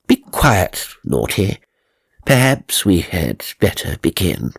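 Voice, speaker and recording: A brisk female séance medium, acted out by a male narrator, theatrical and chiding. The voice is husky and creaky, with lively movement in pitch, suited to an old ghost story. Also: no echo, clean studio sound, full character voice. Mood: mild scolding. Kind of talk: ghost story character reading.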